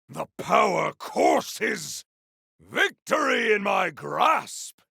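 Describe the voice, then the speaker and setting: A man voicing a grandiose, battle-hungry warrior in a video game, with a rough, gravelly voice and bombastic, theatrical delivery. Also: very high voice, sharp tone, no echo, clean studio sound, exaggerated voice. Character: grandiose, battle-hungry male warrior with fire and ice powers. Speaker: playable game character. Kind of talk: video game combat barks.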